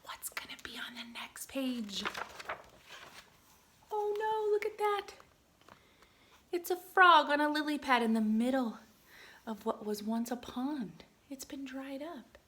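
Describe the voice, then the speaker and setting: A woman reading a picture book aloud to young children in an expressive way, adding chatty explanations and questions for her listeners. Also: high-pitched, takes it slowly, long pauses. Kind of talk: animated read-aloud with chatty asides and questions.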